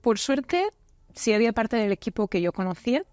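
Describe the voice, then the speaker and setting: A woman's voice: fairly high pitch, very animated, a little fast, darkish tone, dry recording. Woman guest from Spain, dry studio sound. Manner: friendly, candid, conversational. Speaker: guest, business unit director. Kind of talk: casual interview chat.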